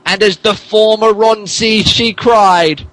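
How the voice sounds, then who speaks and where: A man's voice: high-pitched, steady tone, slow pace, fairly bright tone, poor audio. A man, a podcast host, narrating a comic dramatic reading of fan fiction theatrically and stumbling over the text.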